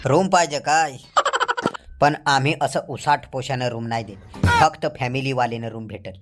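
A man's voice: medium pitch, moderate pace, soft background music, natural voice. A man voicing a bossy, blunt landlord in a comedy cartoon, lecturing in rustic speech as he lays down rules. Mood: blunt, instructing. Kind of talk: comic cartoon dialogue.

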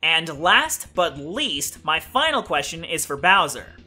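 A man's voice: a little high, sing-song, slow, bright, forceful tone, soft background music, exaggerated voice. A young man voicing a cheeky, pun-loving host of a cartoon question-and-answer show. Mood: chipper, cheeky.

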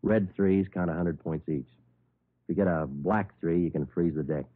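An actor playing a terse, unflappable police detective in a 1950s radio crime drama, clipped and matter-of-fact. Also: male voice, sits low, measured pace. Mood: casual, deliberate. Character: terse, unflappable male police detective, narrating his case. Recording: muffled old radio recording.

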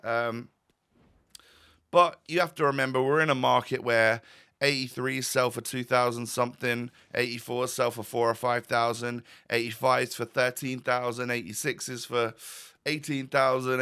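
Guy in his 30s, croaky voice, bright and chatty, rambling game commentary at his desk. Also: medium pitch. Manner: chatty, wry, with fillers and restarts. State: croaky, voice going. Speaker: gaming YouTuber. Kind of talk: casual pack-opening commentary. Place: home room, indoors.

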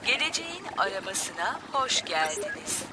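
A woman playing a polite talking camper van that gives measured navigation announcements in a children's cartoon. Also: mid-range voice, very animated, heavy background noise, close to an everyday voice. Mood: pleased.

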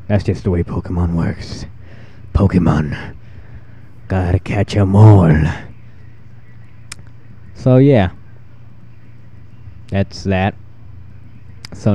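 A fourteen-year-old boy with a freshly deepened voice, rambling and self-deprecating while giving casual commentary over his gameplay. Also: mellow, muffled recording. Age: 14, teenage boy with newly deepened voice. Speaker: gaming channel host.

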